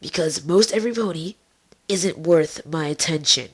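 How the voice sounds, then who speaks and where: A woman voicing a guarded young female pony in a fan story reading, blunt, deadpan and husky. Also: deep voice, normal speed. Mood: matter-of-fact. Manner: terse, deadpan, matter-of-fact.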